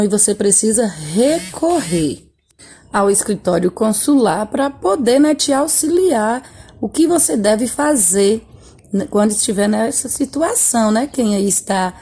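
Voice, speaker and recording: Warm, husky woman teaching a lesson. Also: mid-range voice. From Bahia, Brazil. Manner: chatty, friendly, often tags "né?" and speaks to pupils directly. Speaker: schoolteacher. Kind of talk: remote classroom lesson read from a textbook.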